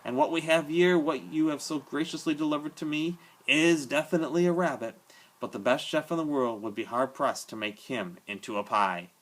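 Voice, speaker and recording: A man's voice: a little high, normal speed, voice pushed up, lightly acted, rough recording. Man doing a courtly giant bearded drifter. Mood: genial. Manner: formal, genteel, playful speech. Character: enormous bearded gentle male drifter, courtly.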